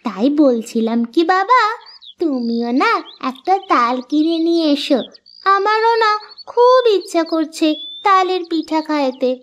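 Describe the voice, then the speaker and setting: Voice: very high-pitched, expressive, a little slow, a bit bright, slight background noise, heavily acted. A voice actor playing a sweet, eager young village girl in a cartoon fairy tale. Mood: pleading, affectionate.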